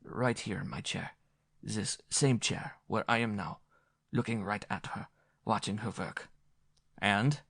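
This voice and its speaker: A man's voice: fairly low pitch, unhurried, creaky, fairly bright tone, husky, dry recording, clean studio sound, full character voice. A man voicing a pompous, sulky film director who speaks broken English. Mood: defensive. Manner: broken English, self-pitying then haughty.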